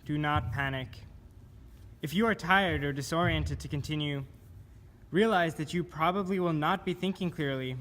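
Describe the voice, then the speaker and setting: A man giving a scripted spoken-word reading of poetic survival instructions in a measured, deliberate manner. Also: fairly high pitch, a bit bright. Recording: clear background noise.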